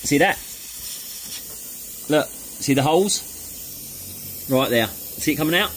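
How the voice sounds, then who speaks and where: British mechanic presenting over workshop noise. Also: male voice, fairly high pitch, animated, slow, fairly bright tone. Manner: matey, colloquial, explaining step by step. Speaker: car mechanic and video presenter. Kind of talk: hands-on how-to demonstration, casual. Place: cluttered car repair garage, indoors. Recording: clear workshop background noise.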